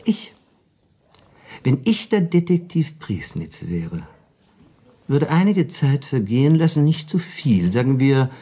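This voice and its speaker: An actor playing a wry, shrewd dinner companion in a German radio play, a husky, mellow man who argues deliberately and persuasively. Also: mid-range voice, sing-song, exaggerated voice. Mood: scheming.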